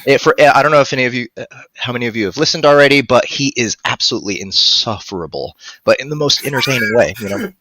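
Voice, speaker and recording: A man's voice: medium pitch, fast talker, bright, forceful tone, dry recording, rough recording. A man in his thirties chatting casually on a live stream as a guest voice actor, talking into a headset mic at home. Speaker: guest, audiobook narrator and voice actor. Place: home room, on a video call.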